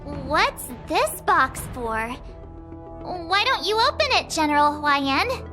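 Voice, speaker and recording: Cocky, very high-voiced girl fighter. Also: very animated, slow, bright, forceful tone, full character voice. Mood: curious. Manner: brash, casual, competitive. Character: cocky, blunt teenage girl sword fighter. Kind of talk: video game voice acting. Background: background music.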